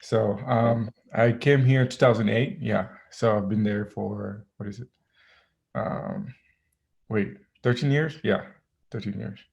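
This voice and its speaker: Man, 30s, low gravelly voice, relaxed, lots of ums, dull lo-fi sound. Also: unhurried. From Haiti. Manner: relaxed, many ums and restarts. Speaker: guest DJ. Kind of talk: casual interview chat. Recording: dull lo-fi audio.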